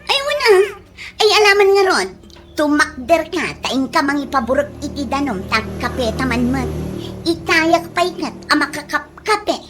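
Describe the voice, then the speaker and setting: A woman's voice: high voice, very animated, moderate pace. A woman with an Ilocano accent playing a cheeky, whiny, bossy young job-seeker in a comedy radio drama. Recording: music bed under radio drama dialogue.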